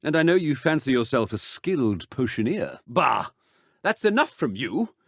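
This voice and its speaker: A man's voice: medium pitch, big pitch swings, normal speed, breathy edge, no room sound, clean studio sound. A man acting as a pompous, haughty headmaster in a video game, theatrical and given to flustered stammering. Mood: haughty, scornful. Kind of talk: scripted game dialogue.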